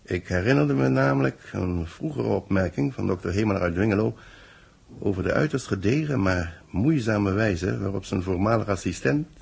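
An actor playing a grave space research official in a 1960s radio drama, explaining things in a measured, formal way. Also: male voice, fairly low pitch, animated, vocal fry, darkish tone, slightly rough, heavily acted. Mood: earnest, explaining.

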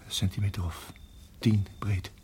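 A man acting in a radio play as a protective husband, speaking in a halting, urgent hush. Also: on the low side, little pitch movement, a little hiss or hum, close to an everyday voice. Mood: tense, hushed. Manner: hushed, halting, urgent whispering. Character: tense, protective husband guiding his endangered wife.

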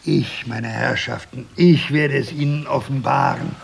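An actor playing a solemn, insistent old survivor in a radio drama, declaiming deliberately with a raspy voice. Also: male voice, medium pitch, steady tone, a little hiss or hum. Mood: portentous, brooding. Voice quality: raspy, gravelly.

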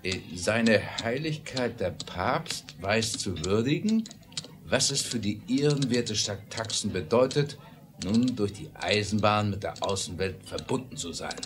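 Measured, formal western character, lower register, clearly hissy 1970s audio-play recording. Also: male voice, animated. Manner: measured reading aloud. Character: formal Wild West man reading a telegram aloud. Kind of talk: 1970s German radio play acting.

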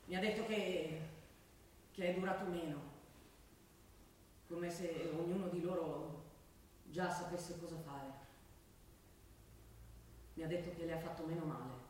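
An actress playing an anxious, insistent female school principal in a stage drama, speaking haltingly and with strain. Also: on the low side, fairly even intonation, darkish tone, natural voice. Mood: grave, halting. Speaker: stage actor. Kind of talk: Italian theatre drama, naturalistic dialogue. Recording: roomy, distant stage recording with faint noise.

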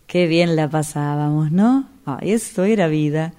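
An Argentine woman acting in a radio drama as a self-possessed woman, speaking in a deliberate conversational way. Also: deep voice, mellow. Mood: friendly, nostalgic. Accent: Argentine, Rioplatense.

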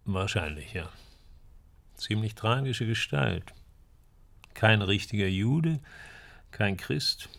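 Slow, gravelly, bookish man. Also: fairly low pitch, animated, a bit bright, exaggerated voice. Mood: wry, reflective. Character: bookish, wry male friend in Nazi-era Germany. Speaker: actor in a radio drama. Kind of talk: radio drama dialogue.

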